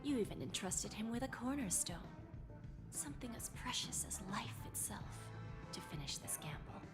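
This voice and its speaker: A woman voicing a poised, sly adult dealmaker in a video game, speaking in a calm, knowing, softly teasing way. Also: fairly high pitch, moderate pace. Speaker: game character. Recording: game audio with reverb and music.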